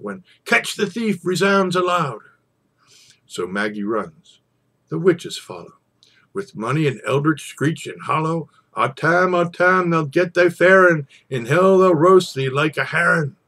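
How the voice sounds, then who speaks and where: A man reciting an old narrative poem in a theatrical storytelling style, with dramatic pauses and swells. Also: on the high side, a bit bright, breathy edge. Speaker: poetry reader.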